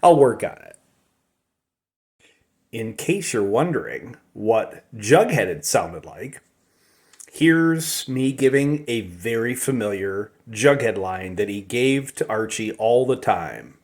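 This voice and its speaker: Slow-paced middle-aged man reminiscing. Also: on the low side, lively intonation, a little mellow. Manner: halting, reflective, with many short phrases. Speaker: storyteller, amateur voice actor. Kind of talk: casual personal reminiscence.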